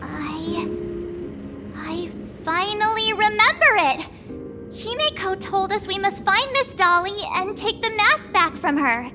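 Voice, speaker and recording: A woman's voice: very high-pitched, sing-song, slow pace, long reverb tail, over music, full character voice. A woman playing a cute, forgetful, easily flustered schoolgirl in an anime-style game, hesitant and exclaiming. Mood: hesitant realisation.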